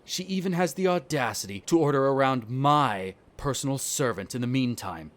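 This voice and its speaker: Guy doing a snooty vampire lord for a roleplay audio, mid pitched, smug and condescending, talks all formal. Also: animated, moderate pace, natural voice. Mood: resentful. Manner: condescending, sardonic, formal wording. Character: haughty, smug male vampire noble, disdainful of humans. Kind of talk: scripted roleplay audio drama.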